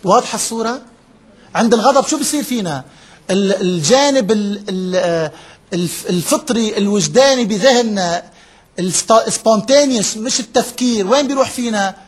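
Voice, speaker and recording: Male workshop trainer, higher voice, slightly husky, talks fast, keeps checking the room understands. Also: lively intonation. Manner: explains step by step, checks in with listeners often; drops English terms into his speech. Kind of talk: interactive lecture to a live group.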